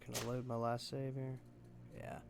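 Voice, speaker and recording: A man with a gravelly, creaky voice does casual gameplay commentary, thinking aloud as he goes. His pitch sits mid-range for a man, and clear noise from the game sits behind him on the mic. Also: level tone, moderate pace. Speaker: gameplay streamer. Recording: noisy mic over game sound.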